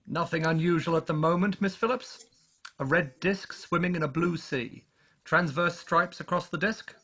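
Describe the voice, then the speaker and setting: A man playing an assured, dismissive astronomy professor in an old-time radio drama, pedantic and measured in his phrasing. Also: a little high, fairly bright tone. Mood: assured, amused.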